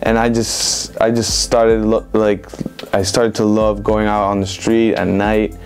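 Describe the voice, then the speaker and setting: Young Venezuelan man, flat rambling voice. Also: on the low side, a little slow, faint music behind. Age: 20s. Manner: relaxed, rambling with many fillers like "like" and "um". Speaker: interviewed street photographer. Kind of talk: casual interview answers. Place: indoor room with bookshelves.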